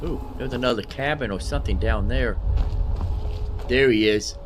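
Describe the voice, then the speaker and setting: A man's voice: medium pitch, normal speed, a little hiss or hum. A man giving casual gameplay commentary in a folksy, rambling way, explaining things and talking to himself. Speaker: gaming host.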